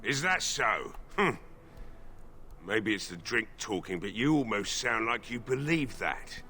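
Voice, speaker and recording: A man playing a bitter, drunken village blacksmith in a fantasy video game, gruff, resigned and sardonic. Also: on the low side, sing-song, unhurried, bright, forceful tone, a little hiss or hum, full character voice. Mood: sceptical, bargaining. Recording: game audio with music behind.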